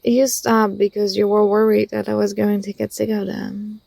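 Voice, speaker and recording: A young woman playing an affectionate, teasing university student in an intimate ASMR roleplay, speaking softly with a tender, hesitant delivery. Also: medium pitch, level tone, moderate pace, vocal fry, no echo. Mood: fond, teasing.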